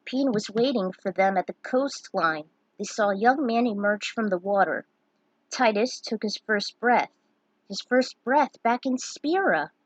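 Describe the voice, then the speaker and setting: A woman's voice: on the high side, no echo, low-quality recording. A woman reading a scripted fantasy story aloud as its narrator. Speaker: narrator reading own fan fiction.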